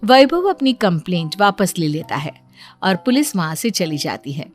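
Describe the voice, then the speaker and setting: A woman's voice: on the low side, big pitch swings, moderate pace, soft background music. A woman reading scripted narration for a cartoon bedtime story as its storyteller.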